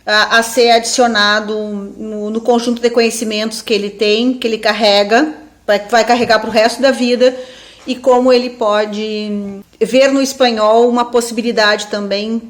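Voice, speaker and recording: A woman's voice: on the high side, fairly even intonation, normal speed. A woman with a southern Brazilian accent giving an informal academic webinar talk as a professor, thinking aloud and often checking in with tag questions. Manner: reflective, seeks agreement with frequent tag questions. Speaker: university professor, webinar speaker.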